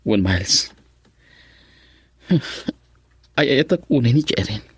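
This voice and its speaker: A man's voice: medium pitch, lively intonation, slow, husky, no echo, heavily acted. An actor playing a sheepish, lovelorn young man in a radio drama, hesitant, with an Ilocano Filipino accent. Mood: dejected. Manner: hesitant, chuckling between phrases.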